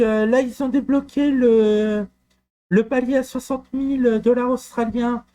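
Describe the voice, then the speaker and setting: A man from France talking through a software walkthrough, hesitant with frequent fillers and restarts. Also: very high voice. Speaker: software tutorial presenter. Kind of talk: screen-recorded walkthrough.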